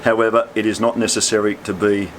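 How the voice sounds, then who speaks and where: A man's voice: on the low side, level tone, quick pace, low-quality recording. An Australian man in his sixties, an air traffic controller, explaining things casually in a laconic, matter-of-fact way.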